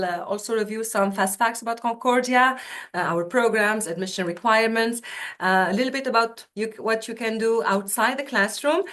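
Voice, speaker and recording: A woman's voice: medium pitch, a bit bright. A woman from Tunisia, a university recruitment officer, giving a webinar presentation over a video call in a chatty way full of fillers and restarts.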